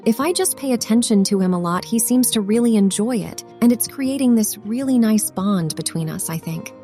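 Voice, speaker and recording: A woman's voice: fairly high pitch, animated, moderate pace, mellow, faint music behind, close to an everyday voice. A woman playing an earnest, slightly unsure classroom aide recounting her day in a scripted monologue. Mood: earnest, confiding.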